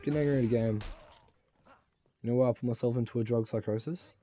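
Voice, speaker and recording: Drunk, swearing young man, mellow voice. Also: mid-range voice, steady tone, fast, a little hiss or hum. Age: 20s. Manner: rambling, crude and swearing. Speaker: game streamer. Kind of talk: casual chat while gaming.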